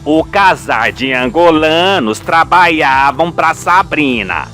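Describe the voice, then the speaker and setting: A man's voice: a little high, lively intonation, slow, bright, forceful tone, faint music behind, voice pushed down, heavily acted. A man voicing an indignant old cheesemaker, folksy and emphatic, in a radio soap opera. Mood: accusing, indignant.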